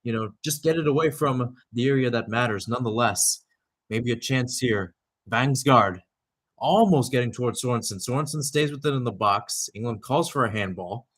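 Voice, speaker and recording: A man's voice: mid-range voice, normal speed, fairly bright tone. A young man giving live radio-style soccer commentary, mixing clipped calls of the play with conversational asides. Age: young adult.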